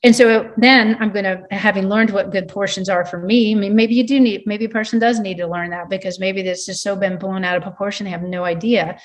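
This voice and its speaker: A woman's voice: medium pitch, steady tone, brisk, few pauses. A woman in her fifties, a sports nutritionist, giving earnest advice in a casual podcast chat, often restarting her sentences. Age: 50s. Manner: earnest, advising, many restarts.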